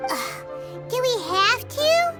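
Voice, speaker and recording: A woman voicing a small, excitable, childlike fairy companion in a perky, squeaky, cartoonish video game style. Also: slow, over loud music, exaggerated voice. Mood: doubtful, whining.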